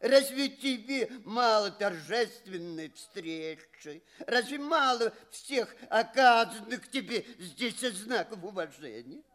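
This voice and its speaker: An actor playing a pompous, condescending old alien professor in an audio play, with a drawn-out, theatrical delivery and repeated phrases. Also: male voice, high voice, animated, a bit bright, heavily acted. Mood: indignant, mocking. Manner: drawn-out, chuckling, repeats himself.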